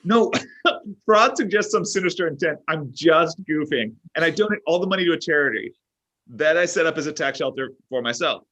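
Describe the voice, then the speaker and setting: A man's voice: fairly high pitch, animated, brisk, pushed and bright. A man playing an oblivious, self-absorbed boss, needy and boastful, in a comedy table read, blurting and justifying himself. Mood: boastful, goofy. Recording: dull lo-fi online call.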